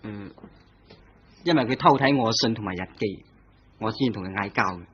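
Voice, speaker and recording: An actor playing an irritable husband who becomes a murder suspect in a vintage radio drama, with a grumbling, defensive manner. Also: male voice, lowish voice, normal speed, a bit bright, natural voice. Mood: subdued. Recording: dull lo-fi old radio recording with faint hiss.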